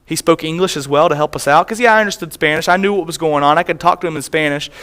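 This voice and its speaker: A man's voice: fairly high pitch, fast talker, fairly bright tone, smooth. A man, a missionary, preaching to a church congregation, telling a personal story in a conversational way and often restarting his phrases. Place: church sanctuary.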